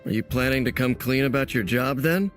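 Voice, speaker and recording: A man's voice: medium pitch, a little fast, soft background music, close to an everyday voice. An actor playing a sociable, teasing swordsman in a video game, ribbing a friend in a casual way. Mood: teasing, friendly. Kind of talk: video game cutscene voice acting.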